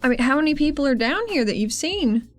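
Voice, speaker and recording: A woman's voice: high-pitched, lively intonation, fast talker. A woman playing a tabletop game, casually describing her character's actions and speaking some lines in character. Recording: music behind. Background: background music.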